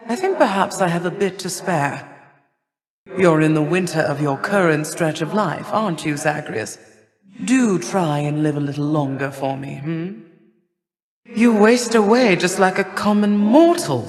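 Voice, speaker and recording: An imperious elder goddess of winter, rings out in a long reverb. The delivery is stately and cold, low for a goddess yet never hurried, with formal, old-fashioned phrasing and a haughty, grudging temper suited to a mythic video game. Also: female voice, animated, crowd noise. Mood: coolly concerned. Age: older. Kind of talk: video game character voice lines.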